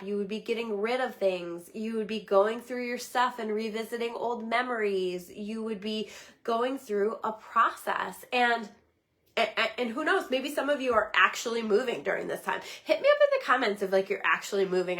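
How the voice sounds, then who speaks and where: Middle-aged woman, bit hoarse, earnest rambly livestream chat, lots of "right?". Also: medium pitch, fairly bright tone. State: slightly hoarse, losing her voice. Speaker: psychic medium giving a weekly reading. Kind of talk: casual livestream talk.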